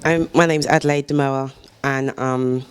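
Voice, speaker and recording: A woman's voice: rumbling low, steady tone, moderate pace, clean tone. A woman artist speaking casually on a panel, chatty and self-deprecating, laughing often. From United Kingdom. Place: gallery discussion event.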